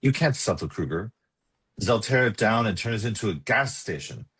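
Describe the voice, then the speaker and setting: Older male small-town lawyer, played by a man, sincere and formal, with a heavily rasped, low voice, for a comedy table read. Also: big pitch swings, moderate pace, fairly bright tone, exaggerated voice, poor audio. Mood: dismayed. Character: earnest older male Austrian estate lawyer.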